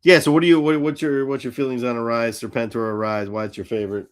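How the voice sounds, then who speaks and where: Blunt, sweary man with mid-pitched voice. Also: steady tone, fast talker, smooth. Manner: blunt, opinionated, profane. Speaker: podcast host. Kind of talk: casual chat about cartoons.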